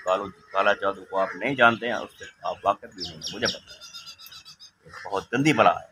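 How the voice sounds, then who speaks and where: A man's voice: low-pitched, fairly quick. A man with a Pakistani accent chatting casually and emphatically, repeating phrases as he makes his point. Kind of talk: casual chat, opinionated monologue. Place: outdoors in a village yard. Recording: outdoor phone recording with clear background noise.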